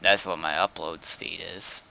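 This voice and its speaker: A man's voice: deep voice, fairly even intonation, measured pace, gravelly, bright, forceful tone, a little hiss or hum, poor audio. A man in casual conversation, speaking tersely and informally as a game streamer. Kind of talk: casual chat.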